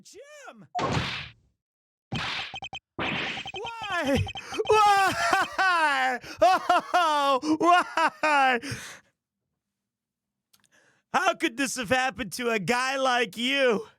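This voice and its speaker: A man's voice: very high-pitched, animated, very slow, pauses often, bright, forceful tone, no echo, studio quality, voice pushed up, exaggerated voice. A man voicing a melodramatic, grief-stricken, accusing prosecutor in a video game, theatrical and overwrought. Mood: anguished. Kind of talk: video game dub played live.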